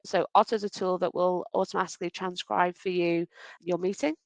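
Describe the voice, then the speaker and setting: A woman's voice: lowish voice, level tone, a little fast, dry recording. A woman from Wales with a faint Welsh accent, presenting a webinar over a video call in a conversational, practical way. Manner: conversational, practical, self-correcting.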